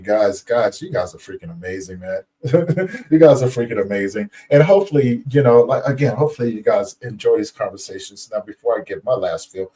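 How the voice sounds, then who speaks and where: Upbeat male host, mellow and distant. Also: mid-range voice. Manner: upbeat and effusive, repeats "guys" and "you know". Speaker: podcast host. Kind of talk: casual interview chat.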